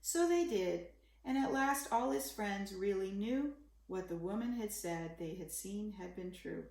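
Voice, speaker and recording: Female storyteller for young kids, expressive rhyming read-aloud, unhurried, creaky edge, roomy sound. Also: mid-range voice. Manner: simple, dramatic storytelling aimed at a young child. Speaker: children's story reader.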